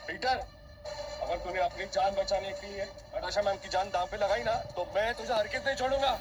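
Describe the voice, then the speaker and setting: A man voicing a hot-headed, protective young onlooker in an audio drama, speaking in rapid, heated outbursts. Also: high voice, steady tone, natural voice. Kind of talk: Hindi audio drama acting. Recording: background music bed.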